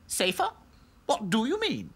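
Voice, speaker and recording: A woman's voice: huge pitch swings, measured pace, husky, exaggerated voice. A woman voicing haughty, spoiled princess dolls in a snooty manner for a storybook reading. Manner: shrill and snooty.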